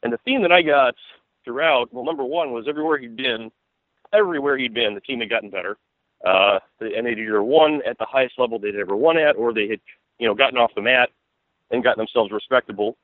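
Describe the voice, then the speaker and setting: Fast-talking American man on tinny phone line. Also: mid-range voice, no room sound. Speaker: sports beat writer, interview guest. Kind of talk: conversational sports analysis.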